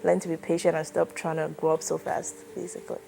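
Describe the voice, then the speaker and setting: A woman in her twenties from Nigeria, answering an oral history interview in a relaxed, chatty way with lots of fillers. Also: low voice, fast talker, creaky, soft background music. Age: 20s. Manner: relaxed, chatty, many fillers like "like" and "you know".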